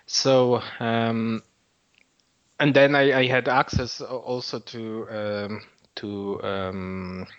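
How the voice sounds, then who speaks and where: A man's voice: medium pitch, fairly even intonation, very slow, clear, resonant tone. A man answering interview questions in a hesitant way, full of fillers and repeated words. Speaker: nonprofit founder, guest. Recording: dull lo-fi audio.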